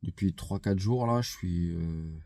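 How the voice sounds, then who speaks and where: Congested man, low and dark, unhurried and halting, vlog chat. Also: creaky, dry recording, studio quality. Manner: hesitant, many fillers and restarts. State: congested with a head cold. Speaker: vlogger. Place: outside a gym.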